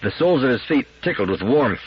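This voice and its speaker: A man with a husky voice giving a scripted narration of a science fiction story as an audiobook reader. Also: medium pitch, big pitch swings, fast talker, lo-fi sound.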